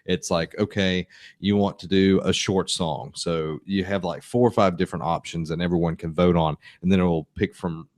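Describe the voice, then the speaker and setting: Deep-voiced man rambling about games. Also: fairly even intonation, normal speed, a little mellow, no room sound, clean studio sound. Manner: rambling, trails off and restarts. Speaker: podcast co-host. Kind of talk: casual chat about games.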